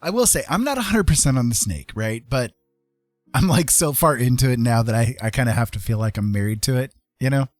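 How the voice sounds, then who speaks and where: A man's voice: medium pitch, lively intonation, brisk, no room sound, faint music behind. A man hosting a casual livestream, chatting in a friendly, rambling way as he paints. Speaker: live art streamer and host.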